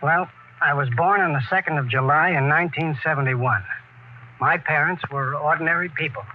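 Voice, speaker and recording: A man's voice: fairly high pitch, brisk, close to an everyday voice. An actor playing a plainspoken, skeptical space crewman in a 1950s American radio drama, questioning and protesting. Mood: uneasy, cooperative. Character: plainspoken, skeptical male crewman who survived a plague. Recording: dull, noisy old radio recording.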